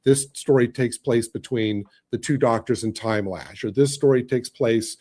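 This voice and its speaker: A man's voice: medium pitch, normal speed, warm tone. A man speaking as a podcast panellist in casual fan discussion, making his points with emphasis.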